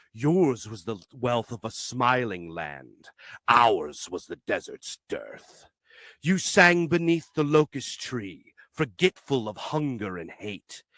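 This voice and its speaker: A man recites verse with solemn, declamatory weight. His tone is bright and forceful, and he moves slowly through each measured phrase. The sound is dry, with very little room on it. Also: mid-range voice, no echo. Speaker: poetry reader. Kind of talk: dramatic poetry recitation.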